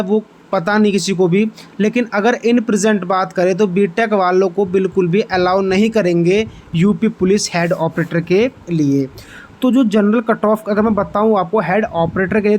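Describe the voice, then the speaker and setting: A man's voice: sits high, a little hiss or hum. A man hosting an informational exam update, explaining figures step by step and addressing viewers directly. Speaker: exam-update channel host.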